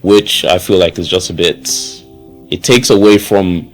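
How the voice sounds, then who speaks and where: Low-voiced young man, loose and chatty. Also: unhurried, soft background music. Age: young adult. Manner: relaxed, many fillers and restarts. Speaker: student. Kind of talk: interview answers.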